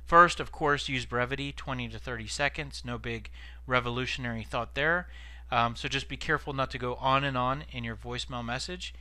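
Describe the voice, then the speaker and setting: A man presents practical training in a conversational, explanatory way. His voice is bright and forward at an average pitch, recorded dry and close, with key words given extra stress. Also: fairly even intonation, a little fast, no echo. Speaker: sales trainer presenting tips. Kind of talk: informal instructional presentation.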